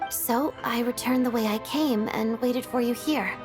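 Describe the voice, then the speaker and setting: A woman's voice: on the high side, a little slow, music in the background, natural voice. A woman playing a composed, caring young woman in a fantasy video game. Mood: matter-of-fact.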